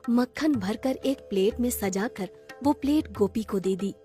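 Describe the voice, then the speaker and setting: A woman's voice: on the high side, a little slow, dark tone, soft background music. A woman narrating a scripted children's story.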